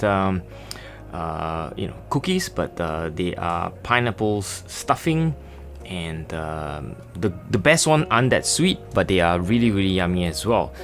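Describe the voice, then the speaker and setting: Man, from Malaysia, middle-aged, lowish voice, chatty art tutorial, halting with fillers, soft background music. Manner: casual, chatty, many filler words and pauses. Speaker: sketching and watercolor instructor. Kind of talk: relaxed tutorial narration.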